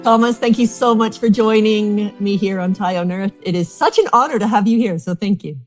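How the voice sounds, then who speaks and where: A woman from Canada with a Canadian accent, hosting a casual podcast interview, enthusiastic and quick to laugh. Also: medium pitch, darkish tone, soft background music. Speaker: podcast host.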